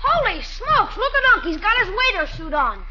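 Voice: very high voice, very animated, normal speed, over a noisy crowd. A performer playing a cheeky, wisecracking schoolboy nephew with a brash, slangy delivery in a 1940s radio comedy. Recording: muffled, faintly noisy 1940s radio recording.

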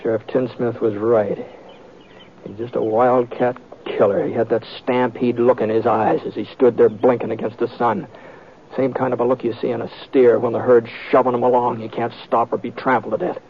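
A man's voice: mid-range voice, little pitch movement, normal speed, vocal fry, slight background noise, natural voice. An actor playing a plainspoken, folksy American cowboy lawman who narrates an old radio western. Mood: tense storytelling. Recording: tinny vintage radio with music.

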